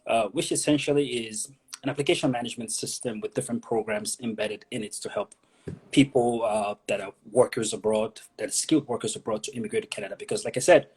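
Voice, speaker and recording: Husky-voiced man explaining immigration steps. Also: on the low side, fast, darkish tone. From Nigeria. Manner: explanatory, repeats words while thinking. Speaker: immigration consultant. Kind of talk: interview guest explaining.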